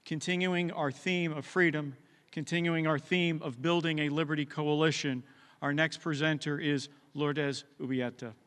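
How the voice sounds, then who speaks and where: A man's voice: on the high side, fairly even intonation, normal speed, a bit bright, a little hiss or hum. A man formally introducing the next speaker at a conference. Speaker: event presenter introducing a speaker. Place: large hall at a conference. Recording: reverberant hall, amplified speech.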